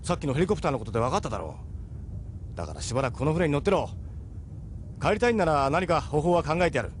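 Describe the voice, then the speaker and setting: A man's voice: a little high, very animated, very fast, slight background noise. A man voicing a seasoned, middle-aged ship captain in an audio drama, persuasive, frank and worldly. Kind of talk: Japanese audio drama acting.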